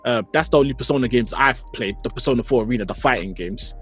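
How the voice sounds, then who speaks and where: A man's voice: medium pitch, quick pace, husky, faint music behind. A man with a London accent in casual chat, slangy and given to emphatic repetition. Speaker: podcast panel member.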